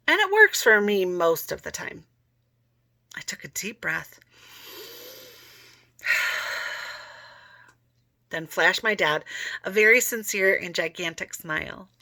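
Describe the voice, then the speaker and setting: A woman reading a children's book aloud, with chatty asides to listeners and soft whispery moments. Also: mid-range voice, no echo, clean studio sound. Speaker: storyteller.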